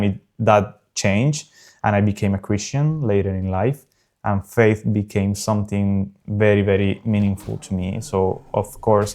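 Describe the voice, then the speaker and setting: A man in his early thirties from South America, a native Spanish speaker with a South American Spanish accent, talking casually and earnestly over gameplay as host of his gaming channel, with frequent pauses. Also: fairly low pitch, a little mellow. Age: early 30s.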